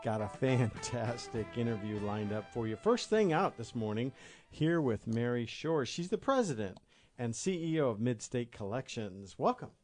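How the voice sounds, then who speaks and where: Midwestern male radio host, upbeat, encouraging, mid-pitched. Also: animated, moderate pace, a little mellow, faint music behind. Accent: Midwestern American. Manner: upbeat, encouraging, frequent backchannels. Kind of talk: radio interview hosting.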